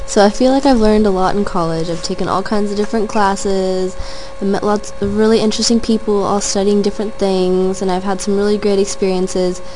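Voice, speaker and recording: A woman's voice: medium pitch, fairly even intonation, brisk, darkish tone, a little hiss or hum, poor audio. A young woman in her twenties, a college student, talking about herself in an earnest, rambling monologue that strings thoughts together with "and". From Southern California. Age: 20s. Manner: earnest, rambling, chains clauses with "and". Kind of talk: casual personal monologue.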